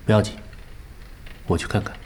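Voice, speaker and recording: An actor playing a composed, precise vice-captain of a gaming team in an audio drama, speaking tersely. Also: male voice, moderate pace, darkish tone, lightly acted. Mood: composed, polite.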